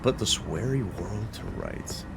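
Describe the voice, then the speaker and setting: A man's voice: fairly low pitch, animated, unhurried, very noisy. A man from Italy streaming a video game, chatting casually and joking with his viewers. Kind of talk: casual gameplay commentary. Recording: game music underneath. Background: music.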